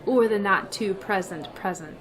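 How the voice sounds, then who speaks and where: A woman's voice: mid-range voice, moderate pace, a bit bright, close to an everyday voice, low-quality recording. A woman playing a dry, wry schoolteacher. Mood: wry, brisk. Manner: dry, sarcastic. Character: wry, long-suffering female schoolteacher. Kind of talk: old-time radio comedy acting.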